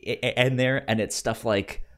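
A man co-hosting a podcast in casual film chat, halting and hesitant, with a stutter that repeats sounds and words. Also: medium pitch, fairly even intonation, a bit bright. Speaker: podcast co-host.

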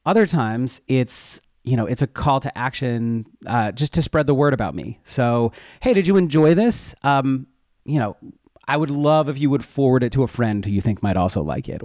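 A man gives an informal, explanatory answer as a guest in an interview. His pitch sits in the middle for a man. The sound is dry, with very little room around the voice. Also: normal speed, darkish tone, no echo. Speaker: interview guest, web developer and educator. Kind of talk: casual explanatory interview talk.

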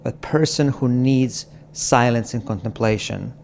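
A middle-aged man from Croatia, a podcast guest speaking slowly and reflectively in an apartment, with halting phrasing, frequent pauses and soft laughs. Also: mid-range voice, gravelly, a little hiss or hum. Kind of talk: reflective conversation. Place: apartment in a city.

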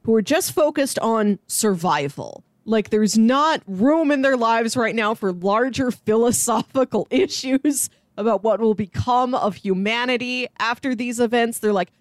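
A woman's voice: on the high side, a little slow, few pauses, dry recording, clean studio sound. A woman co-hosting a podcast, discussing things in a casual, conversational way. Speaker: podcast co-host reviewing a film.

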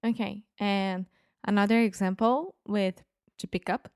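A woman's voice: mid-range voice, fairly even intonation, measured pace, darkish tone, clean tone, dry recording, studio quality. A woman with a Brazilian accent, a native Portuguese speaker, co-hosting a casual English lesson as a learner who asks questions and tries out examples. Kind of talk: casual conversational language lesson.

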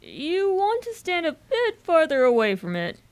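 A woman playing a breezy, chummy, slightly bewildered young gentleman in a comic radio drama. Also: very high-pitched, huge pitch swings, unhurried, heavily acted. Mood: concerned, puzzled. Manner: chatty, frank.

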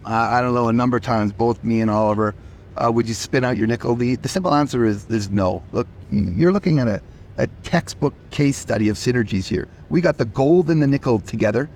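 A man's voice: mid-range voice, a little fast, a little mellow, a little hiss or hum. A company chief executive, a man who is losing his voice, answering interview questions emphatically and often repeating words as he starts.

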